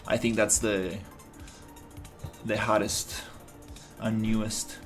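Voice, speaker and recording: A man's voice: fairly low pitch, little pitch movement, takes it slowly, a bit bright, faint music behind. A man from Rica, casually talking through his game development on a livestream, rambling and restarting as he thinks aloud. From Costa Rica. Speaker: indie game developer streaming his work. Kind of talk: casual livestream commentary while working.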